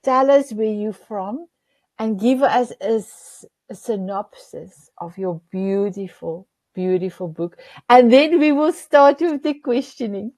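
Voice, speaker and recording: A woman's voice: fairly high pitch, expressive, slow, darkish tone, no echo. A woman whose first language is Afrikaans, hosting a talk show in an effusive way, often searching for words. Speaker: show host.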